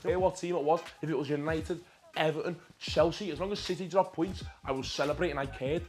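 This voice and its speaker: A young man, a bit hoarse, giving an excitable, sweary casual recap of the football week with dry asides. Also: on the high side, a little fast, faint music behind. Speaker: football vlogger and fan.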